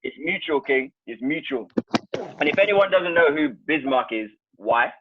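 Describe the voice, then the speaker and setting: An upbeat man emcees a casual video call, hyping up his guests as he brings each one in. His voice sits a little high for a man. The sound is thin and phone-like, squeezed through the call. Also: lively intonation, normal speed, no room sound. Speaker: birthday host on a video call. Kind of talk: casual chat.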